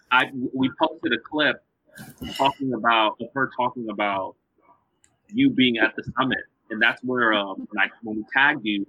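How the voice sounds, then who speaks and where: A man hosting a podcast on a live video call, talking in a halting way full of ums and restarts. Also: mid-range voice, slightly rough, no room sound. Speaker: podcast host. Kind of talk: casual live-stream interview. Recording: dull video call audio.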